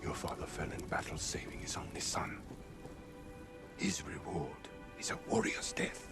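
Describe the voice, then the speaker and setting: An actor playing a stern, noble middle-aged samurai lord in a video game, grave, formal and hushed. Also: male voice, extremely low pitch, huge pitch swings, a little slow, vocal fry, in a whisper, music in the background, exaggerated voice. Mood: solemn. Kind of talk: dramatic video game acting.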